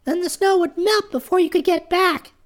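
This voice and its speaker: A man voicing a proud young talking deer in a very high, squeaky falsetto for a storybook reading. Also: moderate pace. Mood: earnest.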